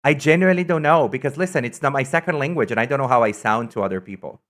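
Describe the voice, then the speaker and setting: A man's voice: medium pitch, fast. Campy, chatty male streamer from Brazil. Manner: chatty, campy and excitable, trails off into asides. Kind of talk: casual live-stream chat.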